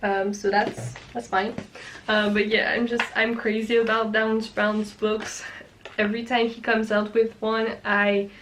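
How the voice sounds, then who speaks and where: A woman's voice: mid-range voice, level tone, measured pace, a bit bright, a little hiss or hum, rough recording. A young woman from France with a French accent, chatting casually to camera about books she loves, restarting her sentences often. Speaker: book vlogger.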